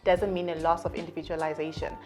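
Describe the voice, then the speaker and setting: A woman's voice: deep voice, little pitch movement, fast talker, soft background music. A young adult woman from Zambia with a Zambian accent, hosting a podcast interview in a casual, chatty way, full of fillers and easy laughter. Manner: warm, chatty, laughs often, many fillers like "you know". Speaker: podcast host. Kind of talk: candid conversational interview.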